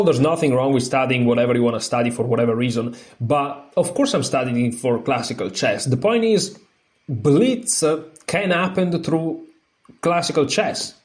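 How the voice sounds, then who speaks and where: A man with an Italian accent, a non-native speaker, hosting a chess lesson, reading a book aloud haltingly with fillers and restarts, then explaining more freely. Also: medium pitch. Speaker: chess channel host.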